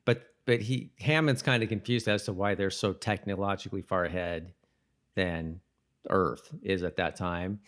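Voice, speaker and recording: A man with a slightly low voice talks as a podcast co-host. He speaks in a loose, conversational way, discussing a show informally. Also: steady tone, normal speed, a bit bright. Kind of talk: casual chat about a TV episode.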